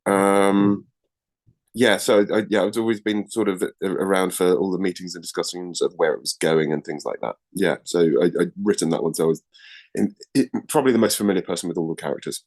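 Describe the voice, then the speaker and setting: Deep-voiced man, hedging interview guest. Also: a little fast, a little mellow. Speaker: guest, writer and script editor. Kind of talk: casual interview recollection.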